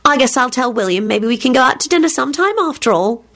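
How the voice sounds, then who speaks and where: A woman voicing a spirited, spoiled young daughter in an audiobook, airy and gushing, quick to take offence. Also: high-pitched, big pitch swings, voice pushed up, exaggerated voice. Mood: cheerful.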